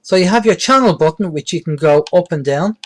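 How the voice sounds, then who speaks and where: Middle-aged male presenter, slightly high-pitched voice, plain-spoken delivery for an informal hands-on how-to. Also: lively intonation, fairly quick. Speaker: presenter of a beginners' radio tutorial. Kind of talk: informal step-by-step tutorial.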